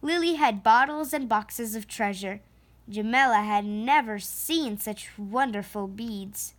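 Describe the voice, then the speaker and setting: Female storyteller, fairly high voice, very unhurried, emphatic children's read-aloud. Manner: slow, deliberate, with emphatic phrasing. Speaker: storyteller reading a picture book aloud.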